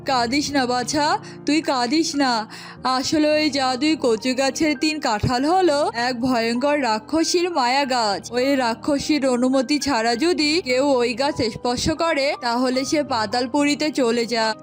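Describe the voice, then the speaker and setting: Woman as high-voiced wise cartoon forest mystic. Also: normal speed, faint music behind, lightly acted. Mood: consoling, explanatory. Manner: soothing, instructive. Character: wise, kindly forest mystic, addressed as grandfather. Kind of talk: Bengali children's cartoon voice acting.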